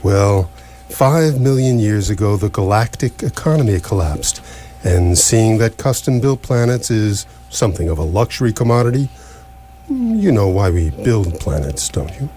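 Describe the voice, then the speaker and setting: An actor in live radio theatre playing an ancient, absent-minded alien planet-builder, stately and portentous yet mildly apologetic. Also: male voice, fairly low pitch, very animated, moderate pace, warm tone. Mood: chatty, wistful. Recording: noisy live stage recording with hum.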